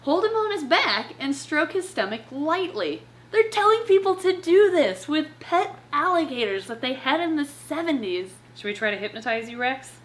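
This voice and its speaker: A young woman chatting casually and reading aloud as a reptile channel presenter, with an animated, playfully sarcastic manner. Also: high voice, normal speed, few pauses, slight background noise. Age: young adult. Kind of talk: casual chat with read-aloud passages and commentary. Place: living room couch at home, indoors.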